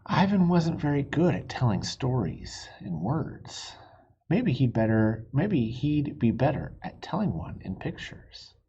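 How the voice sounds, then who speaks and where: A storybook reader giving an expressive read-aloud for children, explaining things simply. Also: medium pitch, a little slow, slightly rough, lo-fi sound.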